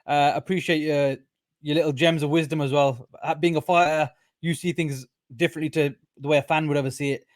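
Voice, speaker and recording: A man's voice: fairly high pitch, fairly even intonation, brisk, no room sound, clean studio sound. A man hosting a casual interview in an appreciative, agreeable way. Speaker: interview host.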